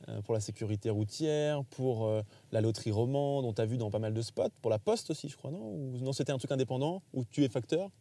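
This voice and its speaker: A man's voice: mid-range voice, brisk, few pauses, dark tone, no room sound. A man from France hosting a casual interview, asking chatty questions. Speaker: host and interviewer.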